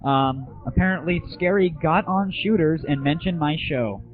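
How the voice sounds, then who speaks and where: A man's voice: fairly high pitch, normal speed, faint music behind. A young man hosting a casual gaming audio show, chatting and asking questions as an interviewer. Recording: tinny, phone-like, band-limited 1990s internet audio.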